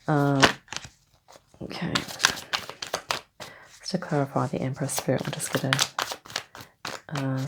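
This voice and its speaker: A woman giving a casual tarot reading, rambling gently with lots of ums and okays, a bit nasal from a cold. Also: very deep voice, gravelly. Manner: meandering, many ums and okays, gentle reassuring tone. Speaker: tarot reader.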